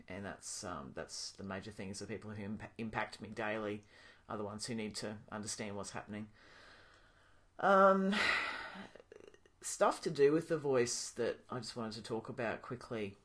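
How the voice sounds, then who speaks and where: A young man talking casually to his camera in a video diary, pausing often with ums and restarting his sentences. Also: fairly low pitch. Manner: relaxed, thinking aloud with many ums and restarts. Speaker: vlogger.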